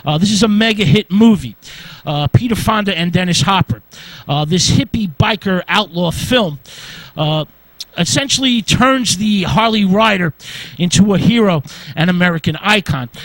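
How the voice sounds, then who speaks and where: High-voiced man lecturing haltingly. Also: lively intonation, fairly bright tone, no room sound, poor audio. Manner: halting, frequent uh between short phrases. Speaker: radio host. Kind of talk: informal history lecture read from notes.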